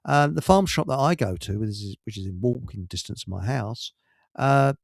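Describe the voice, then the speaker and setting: A man's voice: mid-range voice, lively intonation, moderate pace, vocal fry, no room sound, clean studio sound. A man with an English accent hosting a radio show in casual, rambling chat, telling stories with colloquial asides and digressions.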